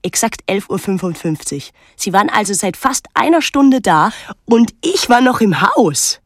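A young actress playing a pensive, detached teenage daughter, narrating a literary radio drama in a measured, introspective way. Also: female voice, mid-range voice, very animated, no echo, clean studio sound. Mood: detached, wry.

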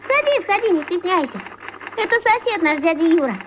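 A woman's voice: very high voice, very animated, fairly bright tone, slight background noise, heavily acted. A woman playing a bossy, chatty, caring little girl who coaxes and stresses her words in a children's radio play. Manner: brisk and coaxing, stressing words.

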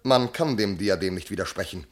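An actor playing a jealous, tormented noble commander and husband in classical verse radio drama, declaiming intensely. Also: male voice, low-pitched, fairly quick. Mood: strained, brooding. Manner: intense declamation, from hushed to outbursts.

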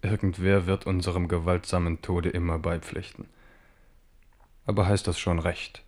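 A man's voice: low voice, little pitch movement, moderate pace, darkish tone. An actor playing a proud, sceptical, embattled French king in a German radio play, with pointed, ironic questioning. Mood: wary, ironic.

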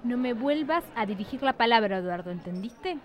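A woman's voice: fairly high pitch, animated, a little fast, crowd noise, natural voice. A woman acting an earnest, kind-hearted young woman in a live radio play. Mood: indignant.

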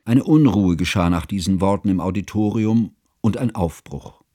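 Ein Schauspieler spielt den gefassten Erzähler eines literarischen Hörspiels und berichtet gemessen, förmlich und genau. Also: male voice, low-pitched, dark tone, no room sound, studio quality. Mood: grave, observant. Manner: measured, formal, precise. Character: observant, composed male narrator recalling a friend's confession. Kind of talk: literary radio play narration.

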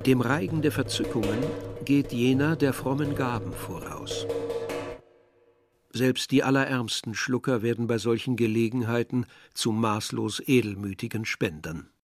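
A reflective man played by an actor narrates in a measured, literary way. His mid-pitched voice sits over prominent music in a radio play. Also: natural voice. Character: reflective male narrator recalling village and brother.

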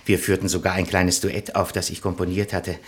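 A man's voice: low-pitched, little pitch movement, a little fast, lightly acted. Man playing a meek, earnest little husband. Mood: wistful, deferential. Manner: earnest, polite, deferential. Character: meek, sentimental husband, flustered man caught in bureaucracy. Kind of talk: 1960s German radio comedy acting.

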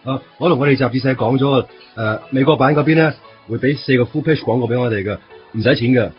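A man's voice: mid-range voice, fast, natural voice. An actor as an eager, touchy young man. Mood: eager, hesitant. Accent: Hong Kong Cantonese. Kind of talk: radio drama acting. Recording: muffled, music underneath.

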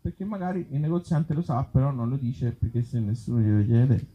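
A man voicing a befuddled, stubborn reader of absurd little fairy tales, halting and repeating words in a comic storybook reading. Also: medium pitch, lively intonation, slightly rough, muffled recording. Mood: earnest, confused. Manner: halting, stumbling, repeats words, abrupt endings.

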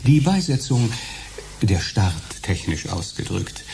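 An actor playing an unctuous elderly undertaker in a radio drama, formal and pompous, with hushed professional sympathy. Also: male voice, lowish voice, big pitch swings, takes it slowly, vocal fry, background noise, exaggerated voice. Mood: smoothly solicitous. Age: older. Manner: oily, formal, pompous salesman.

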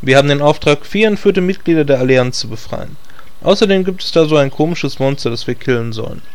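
A man's voice: on the high side, animated, fairly quick, clear, resonant tone. A man playing a sensible, exasperated magician who leads a fantasy party in a comedy audio drama, with a dry delivery. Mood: explaining.

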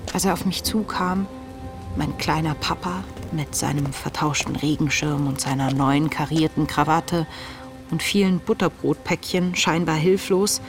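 Eine Schauspielerin spielt in einem Hörspiel eine nachdenkliche, ängstliche junge Tagebuchschreiberin, intim und bedächtig vorgetragen. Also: female voice, low-pitched. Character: introspective, anxious young woman reading her diary. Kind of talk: literary radio drama monologue.

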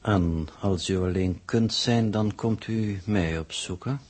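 An actor playing an aloof, sardonic space mission coordinator in a 1960s Dutch radio drama, speaking in a formal, dry, measured way. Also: male voice, on the low side, darkish tone, slightly rough.

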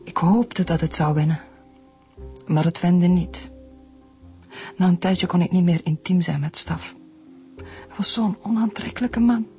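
Verbitterde, rouwende moeder. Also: female voice, lowish voice, unhurried, long pauses, mellow, soft background music. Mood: bitter, wounded. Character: embittered, grieving mother, long-abused wife. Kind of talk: radio drama acting.

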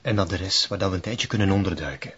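An actor playing an urgent, persuasive fugitive cult follower with a Flemish accent in a TV crime drama. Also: male voice, deep voice, fairly quick, lightly acted. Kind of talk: naturalistic TV crime drama dialogue. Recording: dull sound, clear background noise.

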